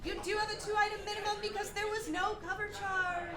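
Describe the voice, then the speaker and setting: A woman's voice: extremely high pitch, little pitch movement, fairly quick. A woman hosting a live show in a playful, exuberant, theatrical way, hyping up the audience. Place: tavern stage before a live audience. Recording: roomy live venue with music, crowd.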